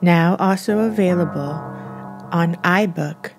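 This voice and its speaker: Slow, low-voiced woman over guitar music. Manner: measured, spells out addresses letter by letter. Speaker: podcast host. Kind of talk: scripted podcast intro and interview questions.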